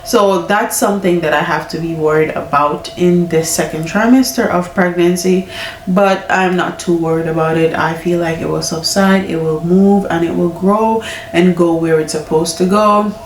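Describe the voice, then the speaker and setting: A woman's voice: lowish voice, steady tone, normal speed, a little hiss or hum. Stuffy-nosed woman chatting candidly at home. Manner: candid, chatty, laughing often. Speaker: vlogger. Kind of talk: casual pregnancy chat. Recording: echoey room, mic at a distance.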